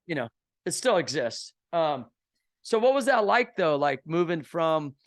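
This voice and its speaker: A middle-aged man from Kansas City hosts a relaxed podcast chat. His voice sits a little high for a man, and he talks in an excitable, slang-heavy way, calling his guest "bro" and "dude.". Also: measured pace. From Kansas City, USA. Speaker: podcast host. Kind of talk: casual interview chat.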